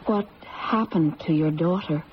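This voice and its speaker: An actress playing an anxious, polite married woman with an American accent, speaking in a halting, earnest way in a radio drama. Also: sits low. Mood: concerned, curious. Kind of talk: 1970s radio drama acting. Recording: muffled, noisy old radio broadcast.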